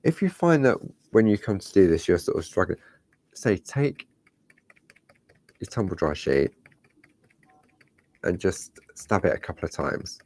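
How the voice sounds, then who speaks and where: A man with a slightly croaky voice, demonstrating a parchment craft step by step and chatting to himself as he works. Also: low-pitched, big pitch swings, measured pace, pauses often, a little mellow. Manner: chatty, talks to himself while working. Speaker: craft tutorial host.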